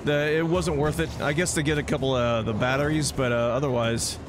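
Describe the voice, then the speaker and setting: A man's voice: mid-range voice, fairly even intonation, brisk, background noise. A man giving casual let's play commentary, chatting away with joking asides to the game's characters. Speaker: gameplay host. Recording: game music behind the voice. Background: video game music and action sounds.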